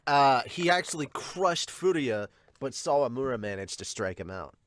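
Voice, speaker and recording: A man's voice: medium pitch, big pitch swings, moderate pace, fairly bright tone. A man giving casual, enthusiastic reaction commentary as a show host, emphatic and given to swearing. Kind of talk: casual reaction commentary with dramatic read-alouds.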